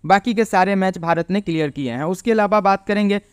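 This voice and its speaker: High-pitched, clear man. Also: fairly quick, no echo, clean studio sound. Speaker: cricket preview presenter. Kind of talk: explanatory pitch report commentary over on-screen stats.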